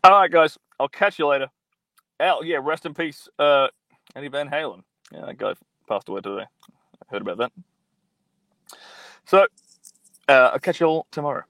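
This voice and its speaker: A man's voice: a little high, moderate pace, long pauses, a bit bright, no echo. Young male streamer, phone mic, very dry close sound, rambling and crude. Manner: blunt, profane, rambling with many fillers. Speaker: livestream host reading viewer comments. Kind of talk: casual profane live-stream chat. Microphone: mobile phone.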